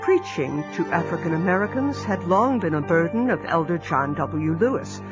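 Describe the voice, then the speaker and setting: A narrator telling a historical story in a measured, scripted way. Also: medium pitch, expressive. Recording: dull lo-fi audio under clear music.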